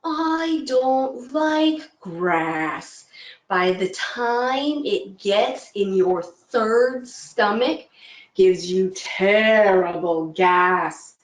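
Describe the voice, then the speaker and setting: A woman voicing a slow, deep-voiced, grumpy cow in a told folk tale. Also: roomy sound, distant mic. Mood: grumbling.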